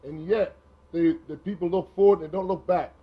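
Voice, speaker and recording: A man's voice: sits high, steady tone, a little fast, husky. A man making emphatic remarks at a press conference. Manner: emphatic, says he has a loud voice. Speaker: fellow speaker at press conference. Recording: muffled lo-fi recording.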